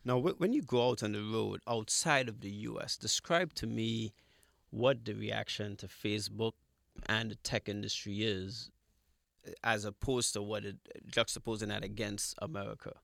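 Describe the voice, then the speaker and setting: A man hosting a radio interview, asking measured questions in deliberate, formal and slightly halting phrases. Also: on the low side, fairly even intonation, gravelly, a bit bright, no echo, studio quality. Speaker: radio interview show host.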